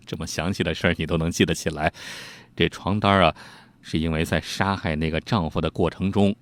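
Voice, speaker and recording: Male storyteller, colloquial and animated, teasing asides, very dry close sound. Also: deep voice, brisk, no echo. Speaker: storyteller, host. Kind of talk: informal storytelling narration of old ghost tales.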